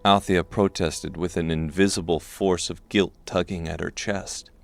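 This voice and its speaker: A man reading scripted dark fantasy narration as an audiobook narrator. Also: low-pitched, fairly even intonation, a little slow, vocal fry.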